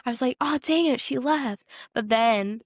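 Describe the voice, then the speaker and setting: A woman's voice: fairly high pitch, normal speed, no echo, studio quality. A woman co-hosting a podcast, chatting casually about film in an informal, emphatic way with plenty of "like". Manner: informal, emphatic, often says like. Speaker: podcast co-host. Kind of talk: casual film discussion chat.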